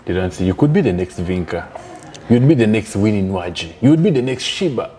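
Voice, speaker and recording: A man's voice: lowish voice, animated, brisk, warm tone, slight background noise. A man hosting an interview, teasing and joking with his guest and laughing often. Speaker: talk show host. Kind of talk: playful interview chat.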